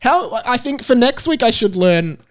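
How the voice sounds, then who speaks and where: A man's voice: very high-pitched, big pitch swings, normal speed, dry recording. A young man hosting a casual comedic podcast, chatting in a jokey, bantering way. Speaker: podcast host.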